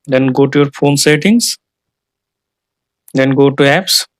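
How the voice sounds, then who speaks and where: Male tutorial host, mid-pitched, for step-by-step phone instructions in short plain phrases, dry studio-free sound. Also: slow, no echo. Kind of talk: scripted step-by-step tech tutorial narration.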